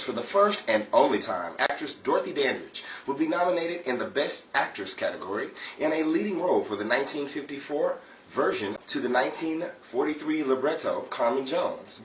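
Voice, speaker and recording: A man's voice: medium pitch, highs cut off. A man giving scripted historical narration as the narrator of a program. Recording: noisy, echoey, distant, with crowd behind.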